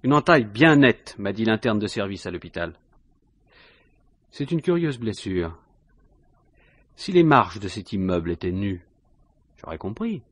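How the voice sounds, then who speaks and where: A coolly insistent police inspector in an old French radio mystery. His voice is husky and moves up and down a great deal as he probes with dry, suspicious understatement. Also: on the low side, sing-song, a little slow, long pauses, fairly bright tone, dry recording, full character voice.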